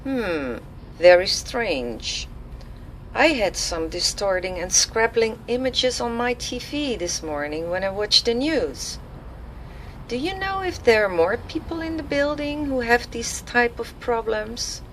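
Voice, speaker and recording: A woman's voice: fairly high pitch, animated, takes it slowly, a bit bright, slight background noise, pitched above the performer's own voice, rough recording. A woman voicing a friendly, sarcastic male office worker with a wry, casual manner. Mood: puzzled.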